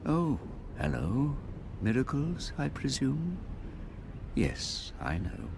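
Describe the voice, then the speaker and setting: An actor playing a reserved, wary cleric in a video game, with a formal, measured manner. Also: male voice, medium pitch, wildly swooping pitch, gravelly, background noise, heavily acted. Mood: reserved, courteous. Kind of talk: video game character dialogue.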